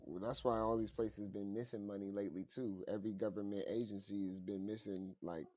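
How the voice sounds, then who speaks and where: A low-voiced man co-hosting a loose podcast chat. He talks in an animated, profane way, laughing often. The sound is dry and close. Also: a little fast, no echo. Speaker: podcast co-host. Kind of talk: casual chat.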